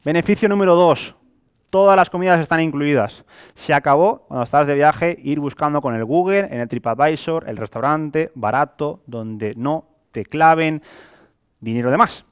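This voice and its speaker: A young man presents to a live audience in a Spain Spanish accent. His voice sits a little high for a man. He talks colloquially and animatedly, throwing out rhetorical questions and everyday examples as he sells. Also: moderate pace, clean tone. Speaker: sales presenter. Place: hotel meeting room with a small audience, indoors.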